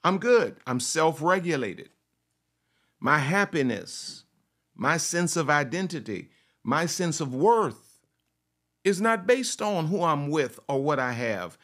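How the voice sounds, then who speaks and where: A man's voice: fairly high pitch, sing-song, slow pace, fairly bright tone. A man preaching a sermon. He repeats his phrases for emphasis, asks rhetorical questions and at times speaks as if he were a confident woman. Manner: speaks in the first person of a confident woman to make his point. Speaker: pastor.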